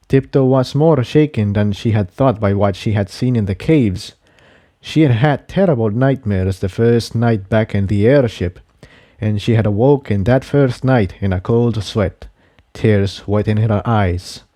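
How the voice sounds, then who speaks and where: A man's voice: medium pitch, mellow, no room sound. A man reading a scripted fantasy adventure story as narrator. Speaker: fan fiction narrator.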